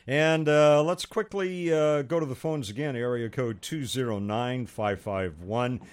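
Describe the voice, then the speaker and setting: A man hosting a radio talk show, earnest and measured, with frequent pauses. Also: medium pitch, clean tone.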